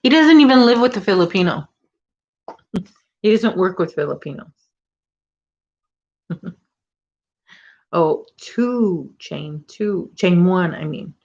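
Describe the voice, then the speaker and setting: A woman's voice: fairly low pitch, lively intonation, slow pace, long pauses, a little mellow, lo-fi sound. A woman with a Chicago accent hosting a casual crochet livestream from home, chatting and teasing while she works. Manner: chatty, reads viewer comments, teasing.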